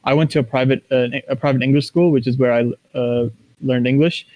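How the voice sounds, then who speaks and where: A man from Kuwait answers casually in an interview. His voice sits at a medium pitch, and he builds his thoughts haltingly, in short broken phrases. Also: fairly even intonation, darkish tone. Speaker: interview guest, esports professional.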